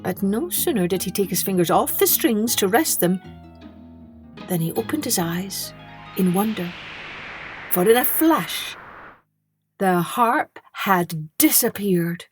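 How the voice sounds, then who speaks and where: A woman's voice: medium pitch, lively intonation, takes it slowly, long pauses, soft background music. A woman reading a children's story as a podcast storyteller, expressively, with dramatic emphasis on key words.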